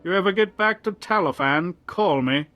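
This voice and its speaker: A man acting a smooth, menacing arms dealer in a video game, oily and businesslike. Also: high-pitched, normal speed, pushed and bright, faint music behind. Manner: oily, businesslike, veiled threats.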